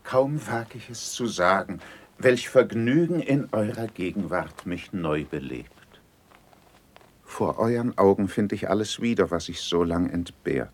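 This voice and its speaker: Shrewd envoy serving a prince, verse radio drama; deep, unhurried, formal and deferential. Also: male voice, expressive. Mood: gratified, deferential. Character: shrewd, courtly male diplomat serving a prince. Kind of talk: classical verse radio-play acting.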